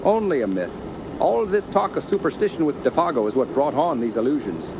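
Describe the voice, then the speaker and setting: Stern, higher-voiced rational uncle. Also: male voice, sing-song. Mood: dismissive. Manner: brisk, insistent, formal. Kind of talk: radio horror drama acting. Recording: clear outdoor ambient noise behind.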